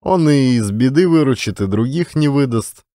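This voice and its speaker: A man narrating classic literary fiction as an expressive audiobook storyteller. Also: medium pitch, moderate pace, no room sound, clean studio sound.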